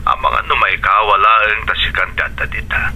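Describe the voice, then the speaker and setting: Worried husband in radio drama, very bright forceful voice, earnest, bit of background noise. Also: male voice, on the low side, animated, normal speed, vocal fry, muffled recording, full character voice. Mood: placating, earnest. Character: concerned, placating husband and father. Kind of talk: Philippine radio drama acting.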